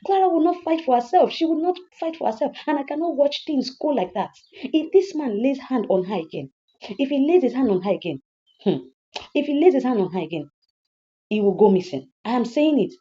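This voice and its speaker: A woman's voice: medium pitch, very animated, a little fast, pauses often, warm tone, muffled recording, voice pushed up, natural voice. A woman voicing a fiercely protective, defiant grown son in a story, emphatic and repeating threats. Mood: angry, threatening.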